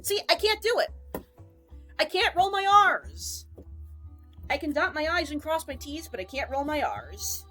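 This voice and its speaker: A woman's voice: high-pitched, sing-song, unhurried, pauses often, sharp tone, soft background music. A woman streamer in casual rambling chat, jokey and self-mocking.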